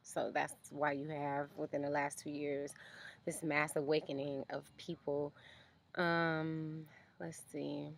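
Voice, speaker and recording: A woman's voice: deep voice, flat delivery, slow, gravelly, slight background noise. A young woman vlogging outdoors at night, explaining things in a loose, chatty way full of ums. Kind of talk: casual explanatory vlog.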